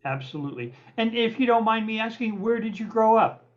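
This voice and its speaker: A man hosting an interview, asking friendly questions, repeating words and pausing with fillers. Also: high-pitched, expressive. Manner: halting, repeats words and fills with uh. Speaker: podcast host and interviewer. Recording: muffled lo-fi audio.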